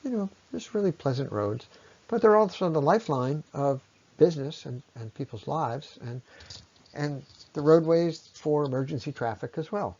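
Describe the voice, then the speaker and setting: A man presenting an explanatory slide talk in a conversational, unscripted way, with halting phrasing and frequent pauses. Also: fairly high pitch, animated, a little mellow, lo-fi sound. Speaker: presenter, ecology lecturer.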